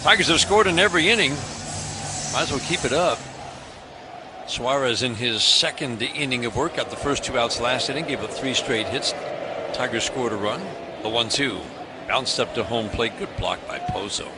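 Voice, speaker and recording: A man calling baseball play-by-play on the radio, briskly, his voice rising on big plays. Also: medium pitch, background noise. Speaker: play-by-play announcer.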